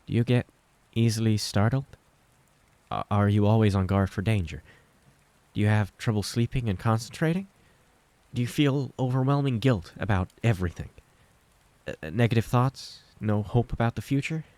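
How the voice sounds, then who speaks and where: A man voicing a gentle, worried middle-aged mother who speaks softly and carefully to reassure her son. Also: on the low side, moderate pace, no room sound, voice pushed up, close to an everyday voice.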